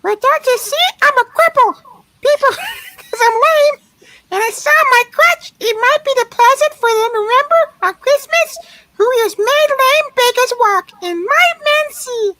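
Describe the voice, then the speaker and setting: A performer voicing a sweet, frail little boy with a squeaky, childlike puppet voice in a radio play reading. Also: animated, measured pace, sharp tone, no room sound, full character voice, low-quality recording. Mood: sweet, earnest.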